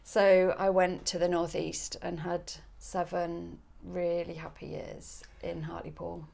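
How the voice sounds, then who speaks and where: Slow, gravelly English woman priest. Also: lowish voice. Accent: northern English. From Coventry, England. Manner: relaxed, chatty, laughs easily, many ums. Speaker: parish priest. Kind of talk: podcast interview.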